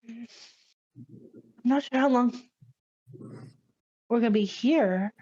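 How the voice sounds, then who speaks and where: Woman playing an rpg, highish voice, takes her time, kinda unsure, bit dull audio. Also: takes it slowly, dark tone, breathy edge. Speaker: tabletop game player. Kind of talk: in-character improvised roleplay.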